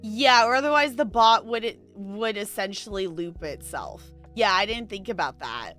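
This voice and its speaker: Bright, lively woman streamer chatting. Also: medium pitch, normal speed, faint music behind. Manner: rambling, thinking aloud. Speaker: livestream host. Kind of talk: casual chat with live stream viewers.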